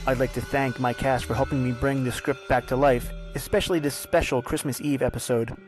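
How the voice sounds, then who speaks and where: A man hosting and narrating a scripted old-time radio style suspense drama, close to the mic. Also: mid-range voice. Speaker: podcast host and narrator.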